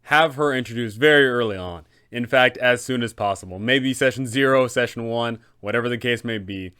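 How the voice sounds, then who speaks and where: A man's voice: mid-range voice, moderate pace, bright, forceful tone, clean tone. A man talking as an informal game master host, explaining things in a conversational, instructional way.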